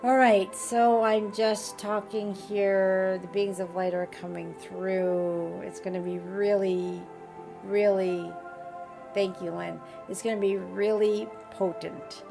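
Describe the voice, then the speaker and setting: A woman with a raspy voice hosting a live group healing call. She speaks in a gentle, reassuring and informal way. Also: medium pitch, level tone, slow. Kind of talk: live-streamed conversational healing talk. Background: faint background music.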